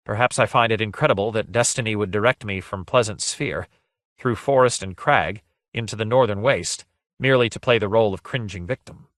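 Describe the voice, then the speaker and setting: A man's voice: fairly low pitch, fairly quick, a bit bright, dry recording, close to an everyday voice. A man acting a bold, defiant male adventurer in a fantasy story, speaking in a proud, formal, archaic and declamatory way. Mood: bold, reflective.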